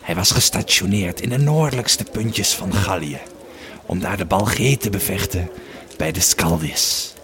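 A man playing a grumpy, weary old Roman legionary who tells his story slowly and gruffly in an audio drama. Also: sits low, crowd noise. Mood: solemn reminiscing. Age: older.

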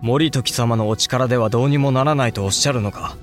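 Young samurai lord played by a male actor: earnest, self-doubting, mid-pitched, formal period speech, faint music underneath. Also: a little fast, close to an everyday voice. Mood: respectful, puzzled. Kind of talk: Japanese historical audio drama acting.

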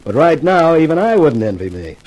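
A beleaguered newspaperman from a 1950s radio thriller. His delivery swings widely, full of sardonic wisecracks, in a soft-edged, fairly high male voice. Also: big pitch swings, fairly quick, dull audio. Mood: wry, grim. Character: wry, beleaguered male newspaper columnist in a mystery. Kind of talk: 1950s radio crime drama acting.

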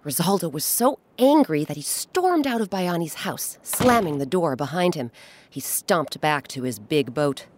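A woman hosting and narrating a children's folktale in an expressive, dramatic storytelling style with pointed pauses. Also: deep voice, a little hiss or hum. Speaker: host and storyteller. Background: music.

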